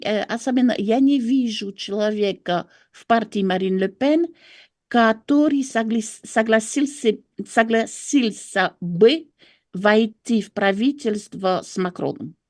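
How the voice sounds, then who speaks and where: A woman's voice: a little high, animated, measured pace, a little mellow, no echo, clean studio sound. A woman from France with a French accent gives political analysis as an interview guest, searching for words and correcting herself. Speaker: political commentator and writer.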